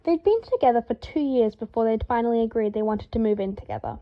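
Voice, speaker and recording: A woman reading a story aloud in a lively, expressive way, acting out the dialogue. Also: fairly high pitch, fast talker, muffled recording. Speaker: fan fiction reader.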